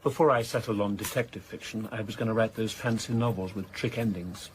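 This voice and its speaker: A man playing a reclusive male crime novelist in a radio drama, measured and dryly wry, with cultured, literary turns of phrase. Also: on the low side, close to an everyday voice. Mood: wry, reflective. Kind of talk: naturalistic radio drama dialogue. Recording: faint background noise.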